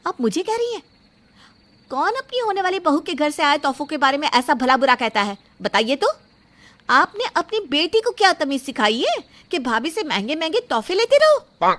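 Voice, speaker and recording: High, bright, defiant young bride-to-be played by a woman. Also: sing-song, moderate pace. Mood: angry, accusing. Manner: firm, indignant, emphatic. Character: self-respecting young bride-to-be, defiant and outspoken. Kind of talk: dramatised Hindi moral story acting.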